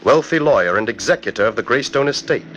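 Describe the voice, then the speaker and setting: A man narrating a 1940s radio mystery in a theatrical, deliberate storytelling manner. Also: medium pitch, expressive, background noise. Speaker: narrator, host. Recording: old 1940s radio broadcast.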